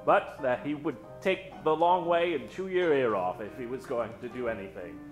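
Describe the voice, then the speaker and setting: A man hosting a live old-time radio show, introducing characters from the stage in a dramatic, drawn-out storytelling style. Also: mid-range voice, soft background music. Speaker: host and announcer. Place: stage before a live studio audience. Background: musical accompaniment.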